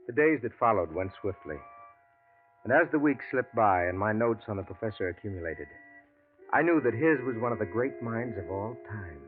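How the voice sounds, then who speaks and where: A man's voice: on the low side, lively intonation, normal speed, music in the background, natural voice. An actor playing an earnest male biographer who narrates and asks probing questions in a 1940s radio drama. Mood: reflective. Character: earnest adult male biographer, curious, loyal. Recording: tinny lo-fi old radio broadcast.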